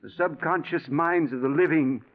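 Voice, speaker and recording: An actor playing an earnest, insistent widower obsessed with spiritualism, in courteous old radio drama style. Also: male voice, on the high side, normal speed, close to an everyday voice. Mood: fervent conviction. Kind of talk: 1940s radio acting. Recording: tinny vintage radio recording.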